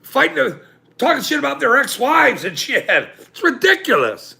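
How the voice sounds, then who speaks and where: A man in his forties hosting a livestream, ranting angrily with profanity and loud outbursts, then joking about himself. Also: very high-pitched, huge pitch swings, a little slow, vocal fry. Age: 40s. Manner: angry, profane, with loud outbursts and self-mocking laughs. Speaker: channel host. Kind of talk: livestream rant.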